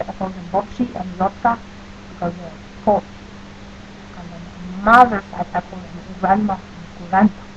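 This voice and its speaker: A woman with a New Jersey accent answering questions for an audio post in stiff, overly formal phrasing with deadpan silliness. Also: medium pitch, muffled recording, slight background noise.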